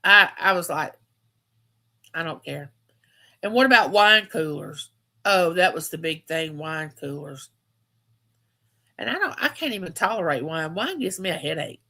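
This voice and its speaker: A woman's voice: fairly low pitch, expressive, normal speed, pushed and bright. A woman, hosting a casual live chat and telling stories, repeating phrases for emphasis. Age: 50s. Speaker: livestream host.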